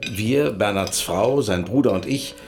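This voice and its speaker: A man acting in a German radio drama as an earnest lawyer appealing for help in a deliberate way. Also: on the low side, music in the background. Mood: earnest, pleading. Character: earnest, pleading male lawyer seeking help.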